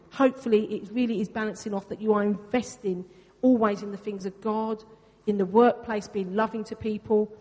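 A woman's voice: mid-range voice, darkish tone. A woman whose first language is Greek, preaching a sermon in a church in a chatty way, repeating phrases for emphasis. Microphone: venue sound system. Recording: reverberant church hall.

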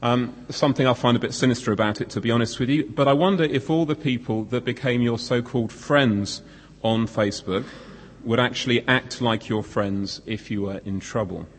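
A croaky man in his forties preaches in a conversational, self-deprecating way. His voice sits at an ordinary middle pitch. Long reverb from the church hall trails each phrase. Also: normal speed. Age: 40s. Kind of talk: sermon.

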